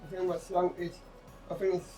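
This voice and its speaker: A man speaking casually in an interview, haltingly, often starting a phrase over before finishing it. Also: sits high, little pitch movement. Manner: halting, repeats phrase openings. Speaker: actor and drummer in a stage play being interviewed. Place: outdoors on a sea front. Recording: distant mic outdoors, faint music behind.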